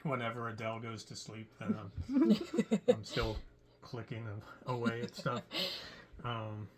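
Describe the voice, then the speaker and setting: A man who created an audio drama, talking casually with his cast over a video call, halting with fillers and restarts. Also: medium pitch, lively intonation, a crowd in the background. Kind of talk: casual group interview.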